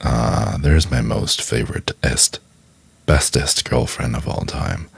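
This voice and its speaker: Deep gravelly guy chatting soft to his asmr viewers, kinda halting n playful, dry close sound. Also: no echo. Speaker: ASMR video creator. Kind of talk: casual soft-spoken address to viewers.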